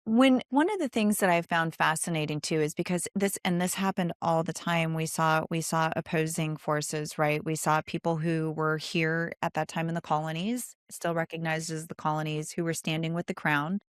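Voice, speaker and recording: A woman's voice: sits low, steady tone, moderate pace, few pauses, clean tone, no echo, clean studio sound. A woman hosting a conversational interview, speaking earnestly and affirming her guest. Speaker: podcast host interviewing a guest.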